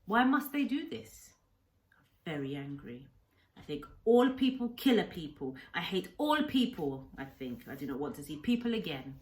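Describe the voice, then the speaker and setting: A woman voicing an old Japanese island hermit from a storybook, angry, sorrowful and gentle, in short sentences of halting broken English. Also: deep voice, very animated. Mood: angry, pained. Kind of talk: storybook read-aloud character.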